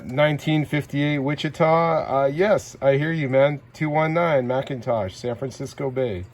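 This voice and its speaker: Very loud radio hiss surrounds a man with a middling pitch. He makes CB call-outs in short, clipped phrases. Between them he comments casually, with friendly slang. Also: a little slow. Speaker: CB radio operator and video host. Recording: heavy static and radio noise. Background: CB radio hiss and static.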